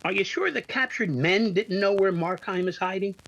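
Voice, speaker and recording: A man's voice: sits high, moderate pace, gravelly, a bit bright, muffled recording. A man playing a hearty, emphatic police superintendent in an old-time radio adventure. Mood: hearty, assured.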